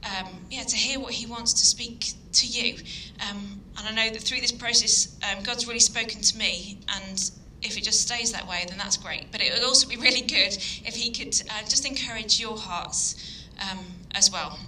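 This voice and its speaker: A woman from Yorkshire with a Yorkshire accent giving an earnest evening sermon, full of ums and pauses. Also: a little high, fairly even intonation, pushed and bright, slight background noise, poor audio. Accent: Yorkshire English. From Yorkshire, England. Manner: earnest, hesitant with frequent ums. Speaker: guest speaker.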